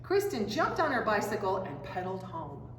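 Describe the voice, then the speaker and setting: A woman reading a children's storybook aloud in an expressive, dramatic way, drawing out key phrases. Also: mid-range voice, moderate pace. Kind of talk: animated read-aloud storytelling. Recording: roomy echoing hall, distant mic, faint noise.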